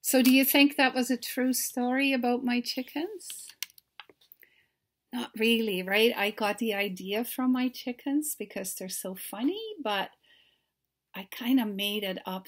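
Older lady doing storytime for kids, takes it really slow, asks the listeners questions. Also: medium pitch. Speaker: children's author reading aloud. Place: book-lined home study.

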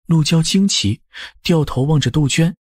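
A man's voice: fairly high pitch, normal speed, husky, dry recording, clean studio sound. A man narrating an audiobook story from a script.

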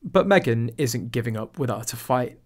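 A man at a middle pitch narrates a scripted gossip voice-over. His delivery is snide and mocking throughout, leaning into sarcastic asides. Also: expressive, normal speed, a little mellow.

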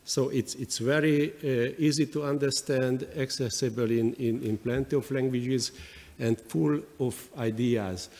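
A man whose first language is Hungarian speaks at a lectern microphone in a large conference hall, with long reverb trailing his words. He answers haltingly, stacking fillers and repeating words as he searches for them. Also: mid-range voice, fairly even intonation, darkish tone. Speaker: panel speaker.

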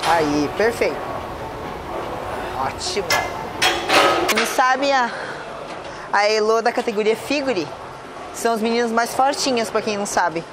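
A woman in her thirties from Brazil, a personal trainer coaching a gym workout, counting reps and giving quick encouragement. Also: on the high side, animated, very noisy. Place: large indoor gym. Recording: loud background music.

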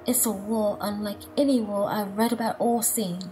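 A woman's voice: medium pitch, steady tone, moderate pace, mellow, soft background music, lightly acted. A woman playing an earnest, despairing professor after an alien invasion in a radio play, speaking in formal, questioning phrases. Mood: anxious. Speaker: actor in an amateur radio drama.

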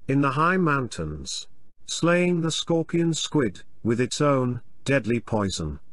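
Slow, dramatic male fantasy narrator. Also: medium pitch, big pitch swings, fairly bright tone, dry recording. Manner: portentous, theatrical.

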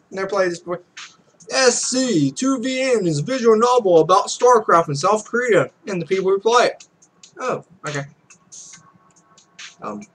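A man's voice: a little high, a bit bright. A man in his twenties casually commentating a game and reading its text aloud, with rambling, offhand asides. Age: 20s. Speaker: let's play host. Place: small home room, indoors. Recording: noisy home recording.

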